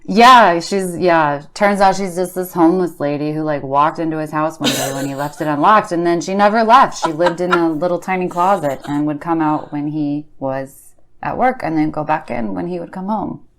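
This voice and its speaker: A woman's voice: low voice, normal speed, a bit bright, slight background noise. A woman playing a blunt, casual creepy-story teller, offhand and slangy in her banter. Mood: amused, casual. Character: Bee, blunt, slacker-casual woman trading creepy stories. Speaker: podcast co-host.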